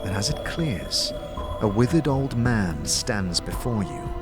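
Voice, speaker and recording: Male narrator for fantasy audio drama: lowish voice, very varied intonation, dramatic scripted read. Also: big pitch swings, slow pace, music in the background. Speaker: narrator and lead actor.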